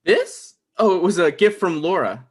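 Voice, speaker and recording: A man's voice: high voice, animated, moderate pace, husky. A man playing a petty, defensive struggling writer in a comedy table read, with a hesitant manner. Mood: flustered.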